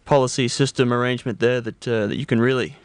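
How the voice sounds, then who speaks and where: A man playing a pompous, deadpan old fuddy-duddy gentleman with halting, stammering delivery in comic radio banter. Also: mid-range voice, no room sound, close to an everyday voice. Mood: deadpan musing. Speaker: radio show co-host.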